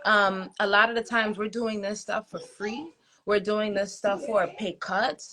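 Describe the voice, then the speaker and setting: A young American woman, a soca artist guest, chatting casually with many ums and quick laughs. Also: medium pitch, fairly even intonation, fairly bright tone. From United States. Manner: chatty, laughs easily, thinks aloud with ums. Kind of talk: casual interview chat.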